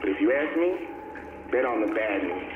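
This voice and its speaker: An actor playing a cynical, streetwise survivor of a collapsed city in a game audio log, talking grimly and colloquially. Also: male voice, fairly low pitch, lively intonation, normal speed, close to an everyday voice. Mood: bitter, suspicious. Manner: colloquial, grim, world-weary. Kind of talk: dramatic monologue in a video game audio log. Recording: tinny, echoey, noisy recorded audio log.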